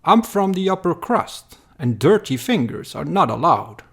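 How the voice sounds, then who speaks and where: Man putting on a snooty high-pitched aristocrat. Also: sing-song, unhurried, vocal fry, breathy edge, heavily acted. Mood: haughty. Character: haughty upper-crust idler who shuns labor.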